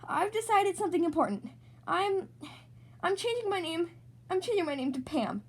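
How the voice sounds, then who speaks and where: Woman playing an excitable high-voiced little schoolgirl. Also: normal speed. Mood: determined. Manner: emphatic, childlike protesting.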